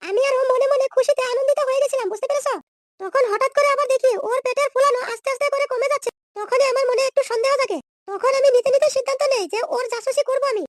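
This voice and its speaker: A man's voice: extremely high pitch, fairly even intonation, rapid-fire, slight background noise. A man voicing a brash, scolding, scheming young woman in a comedy cartoon. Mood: indignant, explaining. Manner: scolding, threatening, slangy insults.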